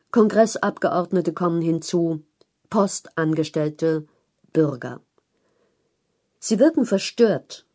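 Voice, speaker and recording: A woman narrating a written news feature in a measured, articulate read-aloud style. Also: lowish voice, dark tone. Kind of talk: scripted narration of long-form journalism.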